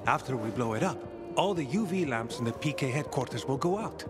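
An actor playing a loyal, protective grown son in a video game. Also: male voice, mid-range voice, animated, a little fast, music in the background, close to an everyday voice. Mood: earnest. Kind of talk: video game character acting.

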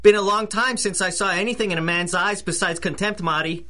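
A man's voice: high voice, fairly even intonation, a little fast, sharp tone. An actor playing a cocky, jumpy small-time robber in a 1930s-style radio drama, talking in tough-guy slang. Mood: swaggering, bitter.

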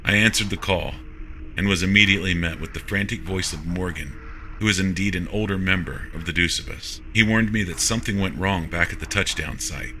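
Very deep, forceful male horror narrator. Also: fairly quick, slight background noise, low-quality recording. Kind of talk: scripted first-person narration of a sci-fi horror story.

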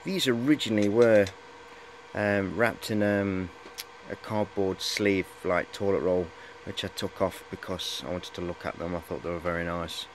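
A man in his forties with a fairly low voice gives a casual, rambling show-and-tell. He is matey and hesitates often as he goes. It is recorded on a handheld camera indoors, with some noise behind him. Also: fairly even intonation, normal speed. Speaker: hobbyist showing high-voltage equipment. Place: cluttered indoor workshop. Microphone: camera at arm's length.

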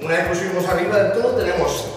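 A man's voice: high-pitched, animated, racing. A man in his twenties with a Spain Spanish accent giving a casual, jokey house tour to camera in empty rooms. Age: 20s. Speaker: vlogger. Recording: echoey empty rooms, distant mic, music behind.

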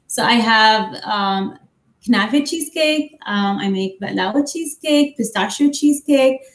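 A woman's voice: on the high side, unhurried. Young woman baker in an echoey kitchen. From Middle East, grew up in Amman. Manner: warm, chatty, many fillers. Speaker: guest baker. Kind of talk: casual chat and cooking demo. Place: home kitchen, on video call.

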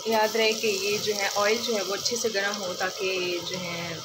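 A woman talks viewers through a recipe in a relaxed, chatty way, often leaning on little fillers. Her voice sits at an ordinary middle pitch. She was recorded on a phone in a home kitchen, with loud sizzling and running water in the background. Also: a little slow. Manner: chatty, step-by-step, leans on the filler "jo hai". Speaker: cooking vlogger. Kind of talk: casual cooking demonstration. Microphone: phone held over the stove. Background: loud kitchen noise, frying and running water.

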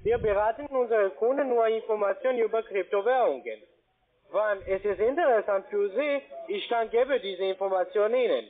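A man with a foreign accent, a non-native speaker, talking haltingly and repetitively as a call centre agent on a phone. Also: high voice. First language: not German. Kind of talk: phone sales conversation. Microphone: telephone. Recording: tinny narrowband phone line.

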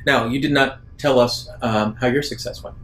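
A man's voice: mid-range voice, fairly even intonation, normal speed, fairly bright tone. A man acting as game master, improvising narration and fielding players' questions at a tabletop game. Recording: noisy room ambience.

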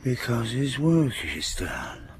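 An actor playing a loyal, uneasy sailor and war veteran in a radio drama, earnest and plain-spoken. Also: male voice, mid-range voice, huge pitch swings, takes it slowly. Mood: musing, awed. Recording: noisy old-time radio broadcast.

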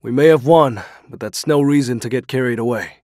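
A man voicing a calm, level-headed male android partner who speaks in a composed, cautioning way. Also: medium pitch, a little fast, no echo, clean studio sound, lightly acted. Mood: calm, scolding.

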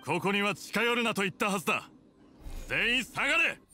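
A man playing a cold, proud, gruff samurai officer in a Japanese anime game. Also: high-pitched, animated, a little fast, sharp tone, soft background music, heavily acted. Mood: commanding.

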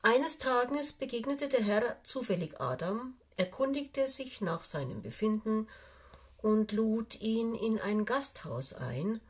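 An older woman reading a fairy tale aloud for children, with measured, expressive storytelling and deliberate pauses. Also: mid-range voice, slightly rough. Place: small indoor room, seated on a sofa.

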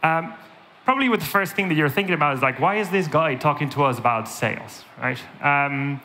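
A man from Spain with a Spanish accent shaded by Irish English, in his thirties, giving a conference keynote in a lively storytelling manner and often adding "right?" to his points. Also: fairly high pitch, a little fast, a little hiss or hum. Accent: Spanish with Irish influence. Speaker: conference speaker. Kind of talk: keynote talk with anecdotes. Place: large dark conference stage.

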